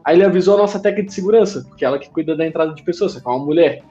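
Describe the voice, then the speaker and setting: A man in casual podcast chat, an animated storyteller who acts out other people in a squealing voice. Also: on the high side, very fast. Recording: slightly dull sound with faint music.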